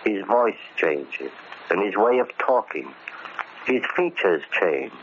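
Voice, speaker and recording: A dull, noisy old radio drama recording carries a low American male voice. a bitter middle-aged convict, grim and deliberate, set on settling an old debt. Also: sing-song, heavily acted. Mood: brooding, determined.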